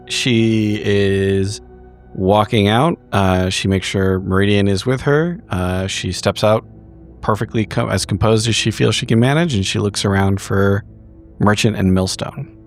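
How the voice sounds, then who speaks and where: A man playing a tabletop game, narrating his character's actions in measured, careful phrasing. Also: fairly low pitch, soft background music.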